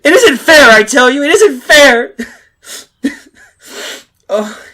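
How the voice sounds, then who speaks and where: An actor playing a terrified, haunted husband stranded in time, with intense, melodramatic delivery in the style of a 1930s radio horror drama. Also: male voice, very high-pitched, big pitch swings, slow pace, pushed and bright, exaggerated voice. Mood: anguished, desperate. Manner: melodramatic, intense, emphatic repetitions. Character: terrified husband and father stranded in prehistoric times, haunted narrator.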